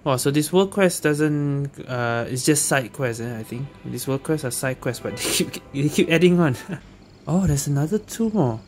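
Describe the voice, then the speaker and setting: A man's voice: fairly high pitch, lively intonation, moderate pace. Casual male gamer over prominent game music. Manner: muttering reactions to himself, reads on-screen text aloud. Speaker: gaming video host playing an action RPG. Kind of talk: casual gameplay commentary. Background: game music and effects.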